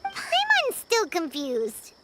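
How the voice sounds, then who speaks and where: A woman voicing a tiny, excitable, childlike fairy companion in a video game, with an exclamatory manner. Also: very high voice, slow, slight background noise, heavily acted. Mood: confused. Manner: refers to herself by name, exclamatory. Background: game music.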